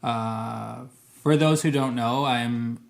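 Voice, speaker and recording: A man's voice: mid-range voice, slow pace, vocal fry. A Canadian man with English as his first language, talking casually to camera as a vlogger, thoughtful and careful, often hedging and restarting his sentences. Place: Montreal.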